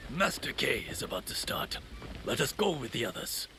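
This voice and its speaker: An actor playing a brusque attendant of a feudal Japanese clan in a video game, with a gravelly voice. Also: male voice, medium pitch, sing-song, moderate pace, background noise. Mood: businesslike.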